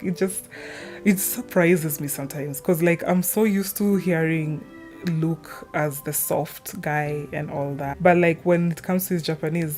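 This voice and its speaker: A woman's voice: lowish voice, a little mellow. A young woman rambling casually and informally through her opinions as a gaming video creator, drifting off on chatty tangents.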